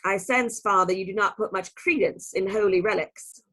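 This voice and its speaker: Radio play nun, female actor: medium pitch, prim and formal, proud, earnest, firm. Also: normal speed, sharp tone. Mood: disapproving. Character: Sister Tabitha, earnest, proud, stern nun.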